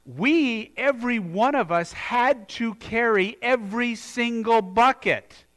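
A man's voice: very high voice, slow, a bit bright. A man from the United States preaching a sermon in a church, earnest and conversational, often restarting his sentences. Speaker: pastor.

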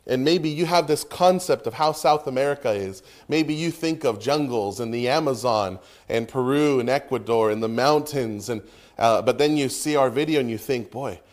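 A man preaching an earnest sermon from the pulpit, reading scripture and praying, with emphatic pauses. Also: medium pitch, darkish tone. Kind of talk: sermon with prayer.

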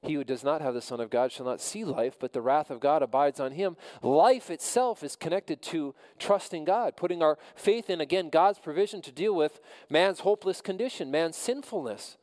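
A man preaching a sermon as pastor, measured and earnest, often repeating phrases for emphasis. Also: fairly high pitch, darkish tone, in a large hall. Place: church.

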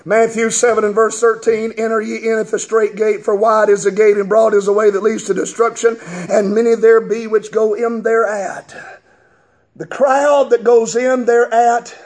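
A man preaching a fiery revival sermon in a church, a strong voice and a chanting, shouting cadence, as the congregation answers him. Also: high-pitched, flat delivery, normal speed. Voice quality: strong, gravelly voice. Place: church sanctuary with congregation responding.

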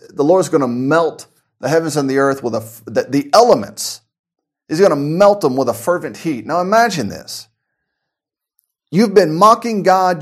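A man preaching an informal sermon, earnest and conversational, often repeating words as he restarts his sentences. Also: a little high. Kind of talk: conversational radio-style sermon.

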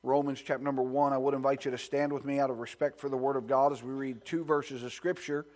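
A man pastor preaching a sermon in a church sanctuary, reading scripture and praying, at times hushed and at times rising with fervor. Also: flat delivery, fast. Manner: builds to loud, high-pitched preaching fervor.

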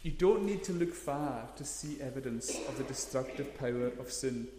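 Earnest preacher in echoey hall. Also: male voice, mid-range voice, animated, moderate pace, noisy background. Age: 30s. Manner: earnest, emphatic preaching with stressed key words. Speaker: pastor. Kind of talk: sermon. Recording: echoey hall, long reverb.

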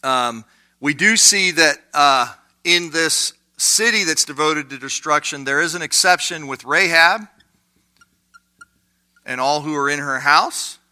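A man teaching a Bible study class in a conversational way, with lots of fillers and quick asides. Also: fairly high pitch, sharp tone.

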